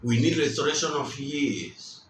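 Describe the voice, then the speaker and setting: A man preaching a sermon, speaking slowly and with emphasis, repeating his key phrases. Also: medium pitch, slight background noise. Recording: roomy, distant mic, faint ambience.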